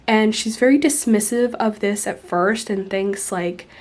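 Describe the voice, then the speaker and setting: A woman's voice: medium pitch, measured pace, darkish tone. A young woman in her twenties, still congested from being sick, chatting casually about a book she's reading, recorded close on a phone. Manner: chatty, explains at length. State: congested, recovering from illness. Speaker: book vlogger. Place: indoors, lying against pillows. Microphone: phone camera held close.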